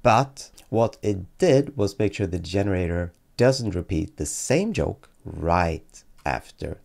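Low-pitched man with a creaky voice. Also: lively intonation, slow pace, darkish tone. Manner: dry, tongue-in-cheek delivery. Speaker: tech YouTuber and presenter. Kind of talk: casual tutorial narration. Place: small dim home room, indoors. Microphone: close boom-arm desk microphone.

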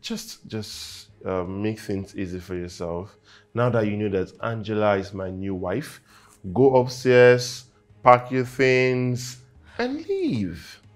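A man's voice: low-pitched, fairly even intonation, measured pace, a little mellow. An actor playing an arrogant husband who mocks and dismisses his wife, often stammering when agitated. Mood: mocking.

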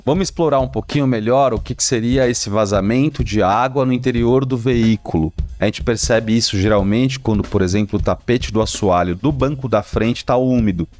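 A man's voice: mid-range voice, darkish tone. A man in his thirties from Brazil hosting a car question show, explaining to camera in a conversational way on a studio microphone. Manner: conversational explainer, occasional fillers and restarts. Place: studio set, indoors. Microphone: studio mic on stand in front.

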